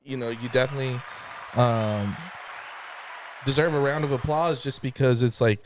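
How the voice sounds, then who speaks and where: A man hosting a podcast interview, speaking slowly and hesitantly with many fillers. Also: medium pitch, steady tone, a faint crowd, slight background noise, rough recording. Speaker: podcast host.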